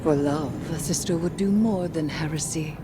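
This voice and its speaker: A woman playing a grave, resolute dark sorceress in a video game, proud and formal, with solemn, deliberate delivery. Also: deep voice, background noise, close to an everyday voice. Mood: proud, challenging. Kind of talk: dramatic video game dialogue.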